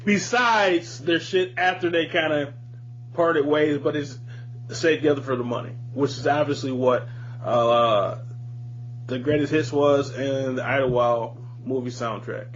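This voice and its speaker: A man's voice: medium pitch, steady tone, a little slow. A man hosting a podcast, chatting casually and giving his opinions in informal, profane slang. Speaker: podcast host. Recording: muffled lo-fi audio with hum, hiss.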